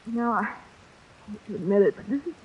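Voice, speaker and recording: An actress playing a shrewd, tough young heroine, wry and husky, in an old-time radio suspense drama. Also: female voice, medium pitch, expressive, fast talker, slight background noise, lightly acted. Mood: shaky, weary. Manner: wry, plain-spoken. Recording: dull vintage radio recording.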